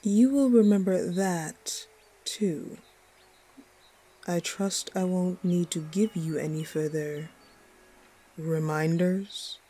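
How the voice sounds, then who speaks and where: A woman playing a commanding, protective snake woman, dominant yet fond, speaking with measured authority in a fantasy audio roleplay. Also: on the low side, dark tone, breathy edge. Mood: stern. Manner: measured, authoritative, fond toward her human.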